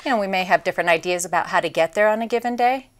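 A woman in her forties, a town mayor, answering interview questions in a measured, upbeat way in a small studio. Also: mid-range voice. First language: English. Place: small TV studio set, indoors.

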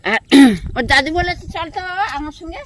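Older woman, rural southern Bengal accent, high pitch, very lively intonation, colloquial storyteller, casual interview. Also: normal speed. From Sundarbans, India. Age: older, grandmother. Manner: animated, colloquial storyteller who acts out dialogue. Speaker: interviewee, villager who fishes and catches crabs. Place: outdoors by a riverbank village.

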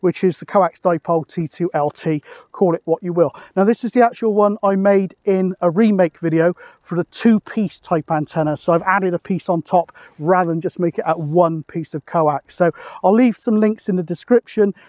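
A British man in his forties with a noticeably high voice for a man talks through his hobby in a casual, matter-of-fact way. He is chatty and explanatory. The sound is dry and open, with very little echo, as he records outdoors. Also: moderate pace, a little mellow. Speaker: radio hobbyist vlogger. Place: open misty hilltop outdoors.

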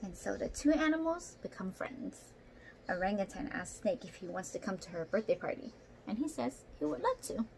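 A woman's voice: mid-range voice, very animated, moderate pace, creaky, a little mellow. A young woman reading a picture book aloud expressively, with asides to young listeners. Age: young adult. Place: small indoor room at home. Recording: noisy home room recording.

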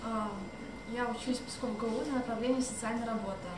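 Young woman in a loud, echoey room. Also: on the high side, steady tone, brisk. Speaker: social work student introducing herself. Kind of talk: informal self-introduction. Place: indoor cafe, seated at table, camera mic at a distance. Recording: very noisy echoey cafe, distant mic.

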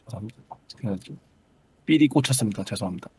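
A man calling into a stream, hoarse from a cold, answering with meek, apologetic, clipped formal replies. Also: lowish voice, expressive, quick pace, a little mellow. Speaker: caller, stream crew member.

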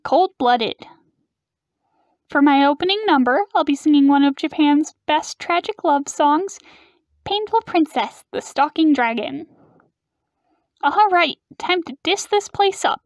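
Woman doing a brash, high-voiced demon pop diva. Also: expressive, measured pace, no echo. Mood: proud. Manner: showy, boastful. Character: brash, vain young female demon metal idol.

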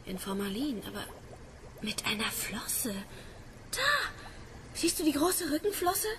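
Radio play: jittery, amazed student, very animated and high. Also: female voice, a little slow. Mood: awestruck. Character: nervous, awestruck female student snooping around. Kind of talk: German radio play acting. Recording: noisy ambience behind.

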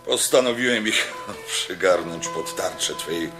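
A man's voice: low voice, measured pace. An actor playing a deferential old soldier-servant reporting formally to his Roman master in a radio drama. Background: music.

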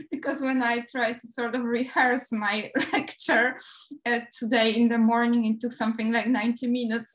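A woman with a Polish accent giving an academic lecture over a video call, hesitant, with light laughs as she talks. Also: on the high side. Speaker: guest lecturer.

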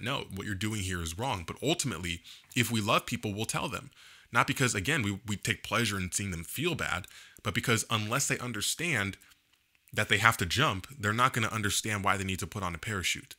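A young man with a low, very bright and forceful voice gives an earnest solo talk to camera. He fills his sentences with "you know" and gestures as he makes his point. He speaks close to a studio mic in a small home setup. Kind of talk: earnest opinion monologue to camera. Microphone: boom-mounted studio mic in front.

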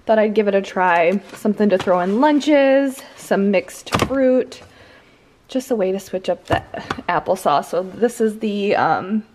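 A woman's voice: mid-range voice, expressive, unhurried, a little mellow, slight background noise. Casual American woman vlogger chatting. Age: 30s. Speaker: lifestyle vlogger. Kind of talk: casual chat to viewers. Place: inside a house.

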